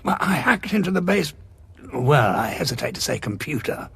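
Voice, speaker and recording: An actor playing an indignant, morally troubled war veteran with a sardonic, emphatic manner in an audio drama. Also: male voice, fairly high pitch, sing-song, normal speed, noisy background. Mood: probing. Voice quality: husky, gravelly. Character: indignant, morally troubled war-weary Doctor, adult male.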